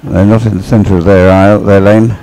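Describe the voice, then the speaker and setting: Low, creaky-voiced man commentating, halting delivery. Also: little pitch movement, warm tone, soft background music, rough recording. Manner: hesitant, halting, repeats words while explaining. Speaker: sports commentator, expert analyst. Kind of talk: live sports commentary, conversational.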